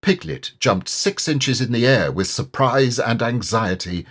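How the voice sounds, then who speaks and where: A man reading a children's story as an audiobook narrator, in an expressive, measured way. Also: medium pitch, breathy edge. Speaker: audiobook reader.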